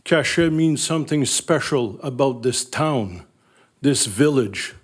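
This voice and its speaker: Older french canadian guy at a council mic, emotional, blunt, mid pitch. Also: lively intonation, slow pace, breathy edge. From Northern Ontario, Canada. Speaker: resident addressing council. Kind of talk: public comment at a council meeting.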